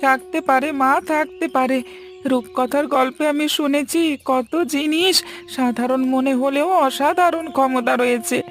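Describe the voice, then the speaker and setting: A woman's voice: sits high, steady tone, moderate pace, natural voice. A woman voicing a suffering young village boy in a fairy-tale cartoon, plaintive and insistent.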